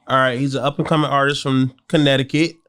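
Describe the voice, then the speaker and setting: A man's voice: mid-range voice, little pitch movement, fast talker, a bit bright, clean tone. A man hosting a casual interview, blunt and joking with his guest. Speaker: host.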